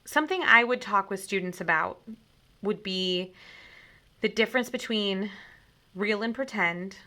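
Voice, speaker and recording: A woman reading a children's story aloud in an expressive, deliberate way, like a teacher. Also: medium pitch.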